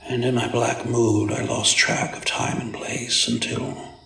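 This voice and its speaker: A man's voice: medium pitch, little pitch movement, vocal fry, a bit bright, roomy sound, far from the mic. A man voicing a proud, brooding, vengeful supervillain who recounts his youth in grave, formal narration for a comic dub. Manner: grave, deliberate, formal, theatrical.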